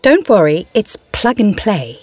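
An actress playing a brisk, reassuring woman technician in an audio drama. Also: medium pitch, big pitch swings, a little mellow, breathy edge, no room sound, soft background music.

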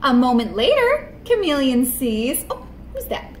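Animated lady doing storytime for little kids, high voice, echoey room w/ noise behind. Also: unhurried. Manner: animated, expressive, addressing small children. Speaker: children's museum storytime presenter. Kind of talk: read-aloud and tips for young children. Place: indoor room with painted mural wall. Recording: roomy echo, noticeable background noise.

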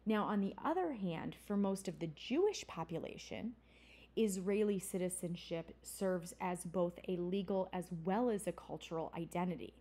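Woman instructor, mellow tone, casual explanatory lecture style with self-corrections. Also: lowish voice, expressive, moderate pace. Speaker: college instructor. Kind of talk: recorded lecture.

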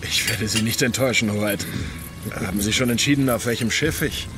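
An actor playing a loyal, deferential ship captain in a radio drama, respectful and measured. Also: male voice, fairly low pitch, over music, natural voice. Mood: respectful, uneasy.

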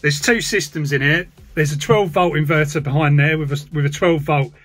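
Male vlogger, 40s, somewhat high voice, casual explainer delivery, faint music behind. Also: fairly even intonation, a little fast, a bit bright. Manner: informal, chatty. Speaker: radio hobby vlogger. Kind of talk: casual explanatory vlog to camera.

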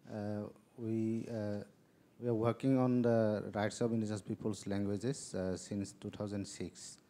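A man from Bangladesh, introducing himself on a panel in a halting way with fillers. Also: fairly low pitch, level tone, dark tone. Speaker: panelist, rights activist.

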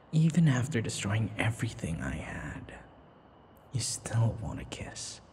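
A man playing an obsessive, lovesick young vampire in an intimate ASMR roleplay, breathy and whispery, halting and full of sighs. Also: fairly low pitch, darkish tone. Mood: tender, fatalistic. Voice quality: breathy, often whispery, creaky.

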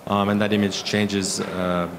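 Low-voiced man presenting, halting delivery. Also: level tone. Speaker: keynote speaker, architect. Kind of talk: conference presentation with slides. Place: conference hall stage at a lectern. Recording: clear background room noise.

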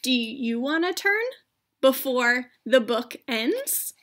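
Calm, playful little girl pig played by a woman, storybook read-aloud, bright, high, deliberate. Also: exaggerated voice.

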